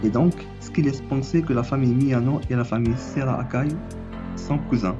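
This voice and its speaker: Homme enrhumé sur fond de musique. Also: male voice, mid-range voice, fairly quick, highs cut off, music in the background. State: has a cold. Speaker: anime and manga YouTuber. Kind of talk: scripted theory video.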